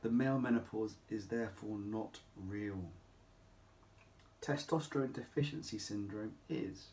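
A middle-aged man speaking as a clinic doctor, explaining to camera in an emphatic, deliberate way with rhetorical questions. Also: fairly low pitch, dark tone, poor audio. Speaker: doctor at a men's health clinic. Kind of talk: informal explainer talk to camera. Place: small home office or consulting room, indoors.

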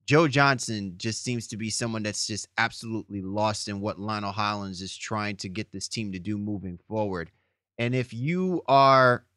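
A man's voice: on the low side, fairly bright tone, no room sound. Emphatic man co-hosting a sports podcast, dry sound. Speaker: podcast co-host.

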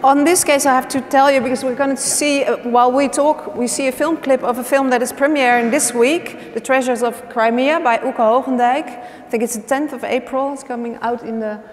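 A woman hosting a live onstage interview in a small gallery, asking brief prompting questions and giving quick affirmations. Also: high voice, fairly even intonation, in a large hall. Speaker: talk show host. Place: small gallery stage before an audience.

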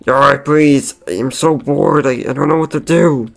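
A man's voice: medium pitch, steady tone, measured pace, creaky, faint music behind. A man voicing a bored, pleading animatronic pirate fox in a cartoon skit, with hesitant delivery.

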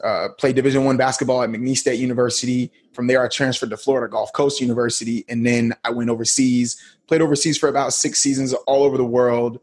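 A man's voice: mid-range voice, fairly even intonation, fast, few pauses, breathy edge. A man in his thirties, a podcast guest, telling his story in a laid-back, slangy way. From United States. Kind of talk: casual interview talk.

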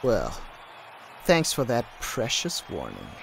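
A man playing a cool, smug, brooding young male trainer in a manga dub, with deliberate theatrical delivery. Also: mid-range voice, wildly swooping pitch, vocal fry, a bit bright, a little hiss or hum, full character voice. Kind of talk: dramatic manga dub acting. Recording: echoing, long reverb.

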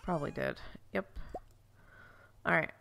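A woman's voice: low-pitched, measured pace, vocal fry, fairly bright tone. A woman with a stuffy, congested voice, chatting casually as she plays through a farming game, thinking aloud and correcting herself. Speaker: let's play host. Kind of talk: casual gameplay commentary.

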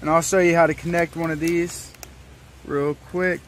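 A man's voice: a little high, level tone, moderate pace. A man giving a casual product demonstration as he unboxes, recorded outdoors from a step or two away. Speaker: product reviewer. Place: outdoors, wooded yard. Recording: faint outdoor background noise.

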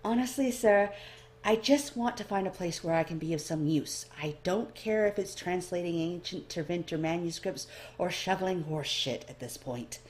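A woman's voice: lowish voice, moderate pace, a little mellow, slight background noise, close to an everyday voice. A woman voicing a guarded elf newcomer in a fantasy story, polite, careful and dryly exasperated. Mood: weary, careful.

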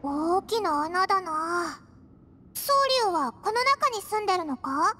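A woman voicing a small childlike fairy companion in an anime game, squeaky and blunt with boyish phrasing. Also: lively intonation, slow pace, pushed and bright, a little hiss or hum, full character voice. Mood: curious, doubtful. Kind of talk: Japanese anime game voice acting.

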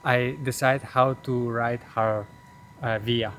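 A man's voice: mid-range voice, flat delivery, slow, a bit bright, a little hiss or hum. A man in his thirties with an Italian accent, a native Italian speaker, chatting playfully on an outdoor travel vlog. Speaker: travel vlogger. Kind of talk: casual vlog chat. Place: outdoors in a hilltop town. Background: faint background music.

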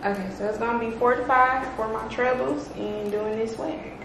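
A woman's voice: on the high side, fairly even intonation, fairly quick. A woman, chatting casually in a blunt, self-assured way as a stylist at work indoors. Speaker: hairstylist and makeup artist. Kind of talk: casual chat. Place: small room indoors, off camera. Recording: distant echoey mic, clearly noisy room.

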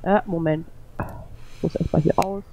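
A woman's voice: lowish voice, fairly even intonation, moderate pace, lo-fi sound, background noise. A woman in casual gaming chat over a voice call, hesitant and filler-heavy as she sets up the lobby. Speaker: game player hosting the lobby.

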